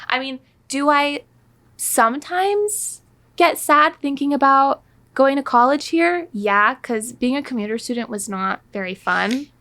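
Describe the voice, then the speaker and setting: A woman's voice: high-pitched, expressive, measured pace, pushed and bright. A woman in her twenties co-hosting a podcast, talking casually with many fillers. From born in Iraq, raised in San Diego. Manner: chatty, lots of fillers. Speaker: podcast co-host. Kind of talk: casual chat.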